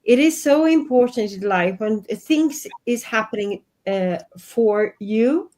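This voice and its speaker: A woman's voice: on the high side, animated, takes it slowly, darkish tone. A woman in her fifties from Sweden, with a Swedish accent, talking earnestly and reflectively as an interview guest. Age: 50s. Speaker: guest, life and business coach.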